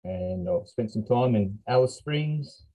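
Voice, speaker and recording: A man's voice: medium pitch, lively intonation, measured pace, mellow, breathy edge, low-quality recording. An Australian man from New South Wales chatting casually as a podcast guest, recalling things in a laid-back way. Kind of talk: casual chat, personal recollection.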